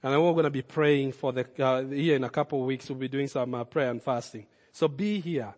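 A man with a Kenyan accent, a pastor giving a sermon, swinging from loud, emphatic calls to low, earnest asides. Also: fairly quick, in a large hall. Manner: impassioned preaching, rising loud then dropping low and intimate.

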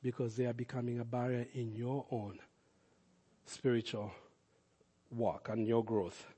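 A man with a Kenyan accent, a pastor giving a sermon, swinging from loud, emphatic calls to low, earnest asides. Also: steady tone, measured pace, husky, long reverb tail. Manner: impassioned preaching, rising loud then dropping low and intimate.

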